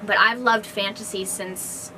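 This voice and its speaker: A woman's voice: mid-range voice, fairly even intonation, a little slow. Woman chatting casually, noisy room. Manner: informal, enthusiastic, rambling. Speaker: fantasy author. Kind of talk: casual book discussion. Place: indoors in a bookstore. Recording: noisy room recording, background ambience.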